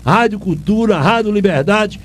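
A man's voice: high voice, normal speed. An older man with a Pernambuco accent, a radio host and former sports reporter, ranting with indignant emphasis and lots of repetition. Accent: Northeastern Brazilian, Pernambuco. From Pernambuco, Brazil. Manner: indignant, emphatic, repeats himself. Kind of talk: ranting commentary.

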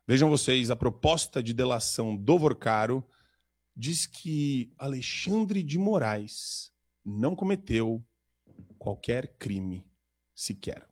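A man hosts a live political talk show, full of joking, sarcastic banter. His nose is stuffed up from a cold. His voice sits at an ordinary pitch for a man. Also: expressive, slow, a little mellow.

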